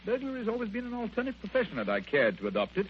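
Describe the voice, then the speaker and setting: An actor playing a brisk, wry, self-assured gentleman detective, commanding in an old-time radio drama. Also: high-pitched, huge pitch swings. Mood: wry, playful. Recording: muffled, hissy old radio recording.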